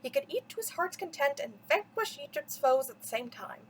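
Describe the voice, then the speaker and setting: A woman's voice: high-pitched, sing-song, brisk, a little hiss or hum. A woman reading a mythology story aloud for children as a storyteller, with dramatic, theatrical emphasis. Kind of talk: expressive bedtime story read-aloud.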